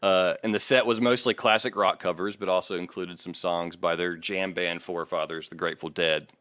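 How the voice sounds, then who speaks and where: A man with a fairly low voice, recorded close and dry. He hosts a casual podcast, laying out background in a chatty, explanatory way with a wry edge. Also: steady tone, brisk, a bit bright, smooth, no room sound. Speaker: podcast host.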